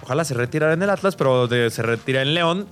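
A man's voice: mid-range voice, animated, fast talker, soft background music. A man with a Mexican accent co-hosting a casual sports debate, speaking emphatically and repeating himself. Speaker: sports show co-host.

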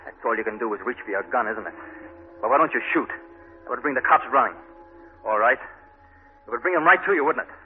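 A man's voice: mid-range voice, animated, fairly quick, long pauses, close to an everyday voice. A man playing an earnest, troubled, idealistic architect out of work, acted in 1940s radio drama style. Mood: defiant. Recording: tinny, band-limited old radio broadcast.

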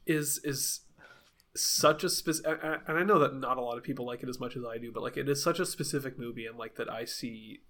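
A man's voice: mid-range voice, brisk, fairly bright tone. A man co-hosting a podcast, talking casually and hesitantly with many restarts, a little sniffly from a cold. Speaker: podcast co-host.

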